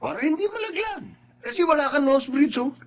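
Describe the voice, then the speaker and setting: A man's voice: very high voice, expressive, brisk. A man acting a needling, mocking office colleague in a Filipino TV drama, with a Filipino accent. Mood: teasing.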